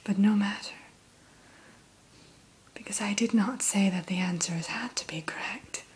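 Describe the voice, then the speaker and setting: Whispery woman playing a shop keeper for ASMR, slow, very breathy, low-ish voice. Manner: mostly whispered, gentle and courteous. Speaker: dragon hatchery keeper in roleplay. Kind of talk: ASMR roleplay.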